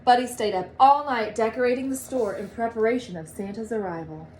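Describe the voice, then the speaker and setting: A woman reading a story aloud to children like a teacher, with animated, drawn-out storybook phrasing. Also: fairly high pitch, a little hiss or hum. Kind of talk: expressive read-aloud storytelling.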